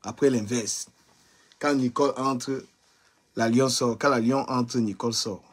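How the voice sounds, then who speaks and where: A man's voice: mid-range voice, fairly even intonation, a little slow, husky. A man commenting bluntly and mockingly on online gossip during a live stream.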